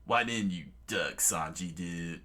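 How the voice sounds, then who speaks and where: A man's voice: low-pitched, slow, sharp tone, full character voice. A man voicing a brash young male pirate captain who talks bluntly and casually.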